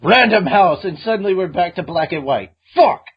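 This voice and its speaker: Excited guy podcasting, husky voice, bouncy pitch, faint music behind. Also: moderate pace, highs cut off. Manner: excitable, swearing. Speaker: podcast host. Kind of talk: casual chat.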